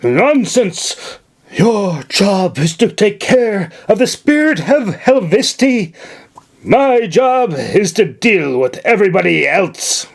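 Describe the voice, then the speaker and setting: A man doing a fussy, pompous governor who blusters in a raised, strained voice, quick to outrage. He sounds loud and worked up, a character from a read-aloud fantasy tale. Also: high voice, very animated, vocal fry, pitched above the performer's own voice, heavily acted. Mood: blustering. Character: excitable pompous male governor, middle-aged. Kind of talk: audiobook character voice.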